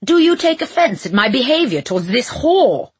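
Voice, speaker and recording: A woman's voice: medium pitch, takes it slowly, a bit bright, breathy edge. A woman voicing a pompous, violent nobleman in an audiobook, haughty and commanding with archaic insults. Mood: menacing, commanding.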